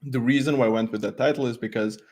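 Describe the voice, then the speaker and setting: A man's voice: mid-range voice, steady tone, quick pace, a little mellow. A man, giving an informal tech talk with a light, self-deprecating humour. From Croatia. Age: 20s. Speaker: conference speaker, developer advocate. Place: at home, makeshift desk setup, remote video call.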